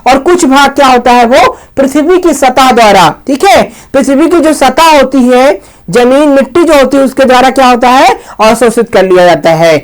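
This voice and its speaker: A man's voice: very high voice, normal speed. A man teaching in a lecture style, explaining step by step with repetition, rhetorical questions and frequent check words.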